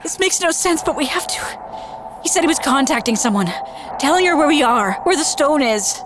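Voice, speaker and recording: A woman's voice: high voice, expressive, moderate pace, background noise. A woman playing an anxious but determined fugitive, speaking urgently and earnestly in an audio drama. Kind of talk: naturalistic audio drama acting.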